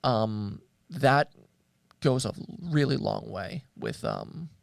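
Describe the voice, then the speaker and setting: Guy chatting as a cohost, gravelly fry in his voice, kinda hesitant, dry close sound. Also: on the low side, wildly swooping pitch, takes it slowly, dry recording. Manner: hesitant, thoughtful, searching for words. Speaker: co-host of a media show. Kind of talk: casual discussion.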